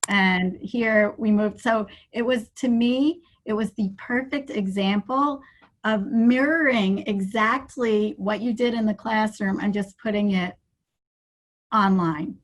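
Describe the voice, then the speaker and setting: A woman's voice: mid-range voice, slow. A woman taking part in an online workshop over a video call, frankly telling a story about school life. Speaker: workshop participant. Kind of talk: casual chat.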